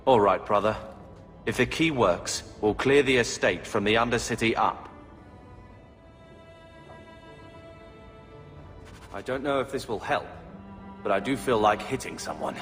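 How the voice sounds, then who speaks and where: An actor playing a resentful, brooding younger brother in a fantasy video game, speaking in a sullen, bitter manner. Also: male voice, fairly low pitch, moderate pace, a bit bright, close to an everyday voice. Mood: grimly determined. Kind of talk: dramatic video game acting. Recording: long hall reverb, faint music behind.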